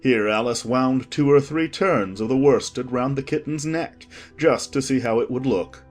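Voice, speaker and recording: A man narrating a children's audiobook in an expressive, measured storytelling manner. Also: mid-range voice, soft background music.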